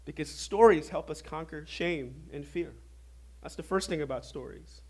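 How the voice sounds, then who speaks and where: A man preaching a sermon as pastor, his voice hoarse and raspy from a recent flu, asking the audience questions as he goes. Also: moderate pace, darkish tone. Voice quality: normally deep voice, now raspy. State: hoarse, getting over a flu. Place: church hall before an audience.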